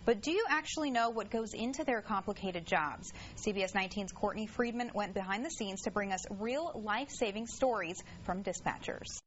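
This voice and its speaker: A woman's voice: mid-range voice, fairly quick, dull audio. American female news presenter, scripted read, middle register, slightly muted audio with light noise.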